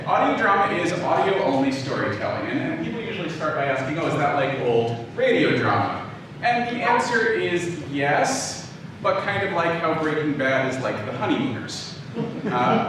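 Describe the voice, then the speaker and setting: Low-key man lecturing in a very echoey room. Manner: hesitant, halting, low-key. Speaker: guest lecturer, audio drama producer. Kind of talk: informal public lecture to an audience. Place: echoey room, live talk before an audience. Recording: echoey room, distant, clearly noisy background.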